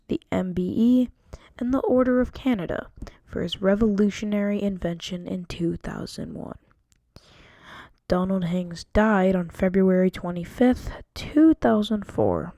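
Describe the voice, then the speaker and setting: A woman's voice: mid-range voice, mellow, no room sound. A woman narrating a student history project, reading carefully from a script with an occasional stumble. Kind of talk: scripted narration read aloud.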